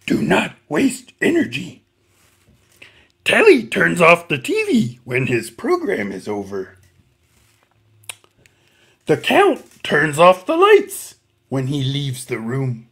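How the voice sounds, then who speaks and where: A man voicing an excitable furry little monster puppet, reading a children's picture book in a strained, throaty voice. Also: a little high, gravelly, breathy edge, voice pushed up, heavily acted. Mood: earnest, instructive. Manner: emphatic, swooping, deliberate reading to small children.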